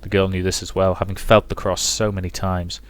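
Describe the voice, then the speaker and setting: Casting: male let's play narrator, fairly low voice, dramatic storytelling read, dry recording with little room. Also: flat delivery, fairly quick, no room sound.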